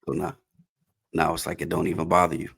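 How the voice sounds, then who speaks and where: A man in his thirties hosting a podcast, asking questions in a relaxed, informal way. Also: deep voice, quick pace, vocal fry, slightly rough. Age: 30s. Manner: relaxed, informal speech, some "um"s. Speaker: podcast host. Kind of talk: casual interview chat.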